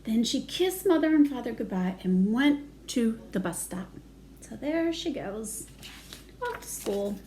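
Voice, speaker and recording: A woman's voice: fairly high pitch, lively intonation, unhurried, darkish tone, a little hiss or hum. A woman reading aloud to young children as a storytime educator, singsong, with simple chatty asides.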